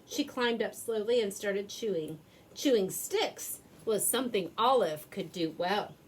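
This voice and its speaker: A woman's voice: medium pitch, lively intonation, a little slow, slight background noise, low-quality recording. A woman narrating a children's storybook expressively, drawing words out for effect. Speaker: storybook reader. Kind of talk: animated children's read-aloud narration.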